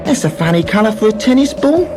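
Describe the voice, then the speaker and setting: A storyteller voicing a polite, excitable young boy in a children's space story. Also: medium pitch, quick pace, loud music behind the voice, voice pushed up, lightly acted. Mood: puzzled.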